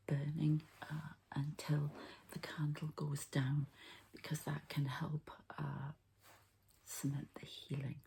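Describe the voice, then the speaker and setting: A woman softly whispering an ASMR healing ritual, breathy and halting, as if thinking aloud. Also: low-pitched, noisy background. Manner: unhurried, halting, thinking aloud while handling objects. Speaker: host, energy healer and card reader.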